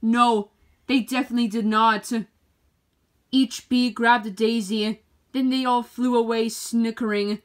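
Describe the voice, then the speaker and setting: A woman with a fairly high voice reads a children's story aloud. Her delivery is expressive, clearly shaped for storytelling. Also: a little slow. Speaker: storybook narrator. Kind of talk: children's read-aloud narration.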